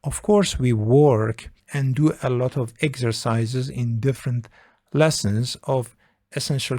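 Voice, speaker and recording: A man's voice: medium pitch, warm tone, no echo, clean studio sound. A man teaching an English grammar lesson, working through exercises patiently, repeating phrases and making small grammar slips. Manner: slow, repetitive, patient explaining with non-native slips.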